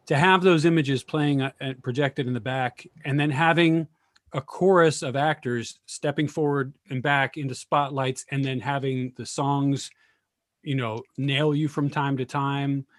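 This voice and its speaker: A man's voice: mid-range voice, no echo, clean studio sound. A man in casual discussion on a video call, thoughtful and searching for words as he talks. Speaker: discussion participant.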